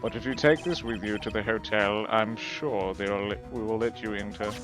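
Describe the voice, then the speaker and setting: A man voicing a formal male official leading a police search in a live fan dub of a game, measured and polite. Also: on the low side, fairly even intonation, music in the background, natural voice.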